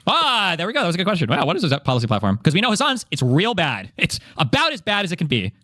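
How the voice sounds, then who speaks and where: A man's voice: high-pitched, wildly swooping pitch, quick pace, pushed and bright, no room sound. A man giving casual political commentary in an argumentative, exasperated manner.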